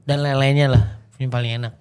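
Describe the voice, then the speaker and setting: A man's voice: mid-range voice, little pitch movement, fast, a little mellow, clear, resonant tone. A man hosting a podcast in casual chat, playful and teasing with his questions. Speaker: podcast host.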